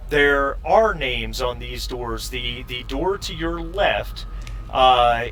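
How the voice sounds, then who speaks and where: Bright-voiced man running a tabletop game. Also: mid-range voice, slight background noise. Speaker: game master. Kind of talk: narrating an online tabletop game.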